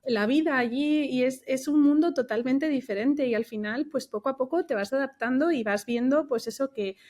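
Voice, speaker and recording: Higher-voiced woman chatting. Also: moderate pace, darkish tone. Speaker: podcast guest, recruiter. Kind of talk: casual conversational anecdotes.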